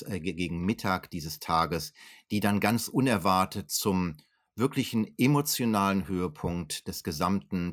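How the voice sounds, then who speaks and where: A man with a fairly low voice answers as an expert guest in an interview. He explains in an articulate, educated way, unscripted, often hesitating and rephrasing as he goes. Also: fairly bright tone. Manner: articulate, educated phrasing with frequent hesitations and self-corrections. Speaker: historian being interviewed as an expert.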